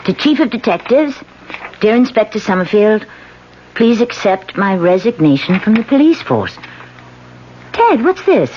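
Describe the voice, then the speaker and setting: Brief: conflicted woman politician, soft-spoken, lo-fi 1970s radio drama. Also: medium pitch, big pitch swings, measured pace, long pauses, slight background noise. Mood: puzzled. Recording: dull lo-fi 1970s radio recording.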